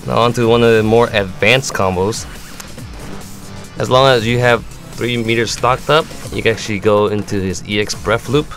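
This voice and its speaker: A man's voice: on the low side, moderate pace. A man giving an instructional commentary on fighting game moves, explaining them step by step as a competitive gamer. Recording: music playing behind the voice. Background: music.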